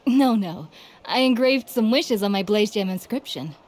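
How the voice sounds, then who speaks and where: A woman's voice: medium pitch, animated, moderate pace, a little mellow, natural voice. A woman playing an amiable young townswoman in a video game, talking in a conversational, explanatory way. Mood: explaining. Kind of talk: video game character acting. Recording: game music behind. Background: game music.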